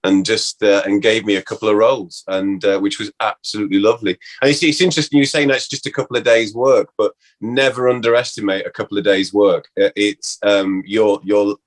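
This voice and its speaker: A man, an actor being interviewed, reminisces casually in a halting way, often interrupting himself. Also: on the low side, fairly even intonation.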